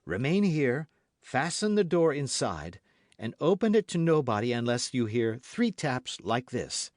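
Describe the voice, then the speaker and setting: A man's voice: mid-range voice, big pitch swings, measured pace, a little mellow, no room sound, clean studio sound, voice pushed up. A man reading an audiobook as a brave, eager young guardsman with an emphatic, courtly way of speaking. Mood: brisk, commanding. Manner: emphatic, courtly, formal speech.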